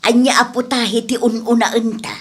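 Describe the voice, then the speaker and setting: Woman playing a high-voiced, chatty mother. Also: flat delivery, moderate pace, husky. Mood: suspicious, fretting. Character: talkative mother running a small eatery, fretting over rivals. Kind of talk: radio drama acting.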